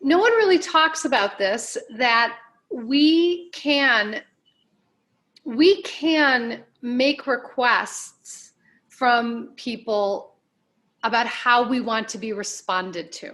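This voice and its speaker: A woman being interviewed as a life coach, giving advice in deliberate, emphatic phrases with measured repetition. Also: on the high side, a bit bright.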